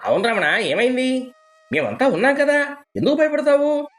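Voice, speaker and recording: A man's voice: very high-pitched, sing-song, moderate pace, faint music behind. A man voicing a cowardly, falsely concerned brother-in-law in an exaggerated, flustered way for a moral story. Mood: showy concern. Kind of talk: dramatized moral story acting.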